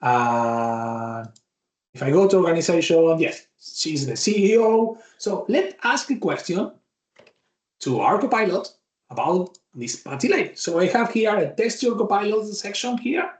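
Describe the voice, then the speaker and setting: Argentine guy teaching a bootcamp, spanish accent, chatty, thinks out loud, echoey room. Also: high-pitched, big pitch swings, a little slow. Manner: chatty, thinks aloud, restarts words. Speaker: bootcamp instructor. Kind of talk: live software demo walkthrough.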